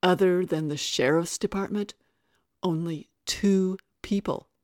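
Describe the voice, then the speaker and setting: A woman's voice: deep voice, fairly even intonation, takes it slowly, dry recording. Casting: woman narrator as righteous angry male musician, emphatic and accusing, audiobook western romance, dry recording. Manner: loud, emphatic, accusing declarations. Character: angry righteous cowboy musician, forceful man.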